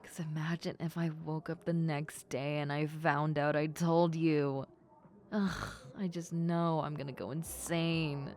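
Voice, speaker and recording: A woman's voice: low voice, flat delivery, unhurried, darkish tone, a little hiss or hum. A woman playing a tipsy, coy popular schoolgirl who speaks hesitantly and giggles, in an intimate roleplay addressed to the listener. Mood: nervous, embarrassed. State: acting drunk.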